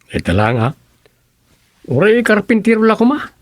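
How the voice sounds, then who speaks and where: A man's voice: high-pitched, big pitch swings, normal speed, no echo, studio quality. An actor playing a folksy village councilman in an Ilocano radio comedy drama, with an Ilocano accent. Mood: conversational. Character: village councilman, folksy adult man chatting with townsfolk.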